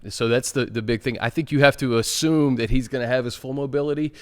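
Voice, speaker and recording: A man's voice: medium pitch, steady tone, fast, clean tone. A man hosting a sports show, chatting and interviewing casually.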